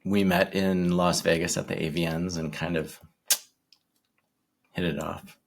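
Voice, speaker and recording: Gravelly deep-voiced man in his forties. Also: flat delivery. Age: 40s. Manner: shy, halting, many ums and restarts. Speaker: interview guest. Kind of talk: casual interview chat.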